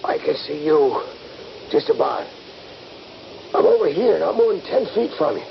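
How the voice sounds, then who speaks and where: A dull, faintly noisy old radio recording features an injured soldier determined to get free. His voice is thick with gravelly creak and moves through very lively pitch swings, in classic radio drama style. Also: normal speed, exaggerated voice. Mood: strained concern. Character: injured soldier buddy, trapped but determined.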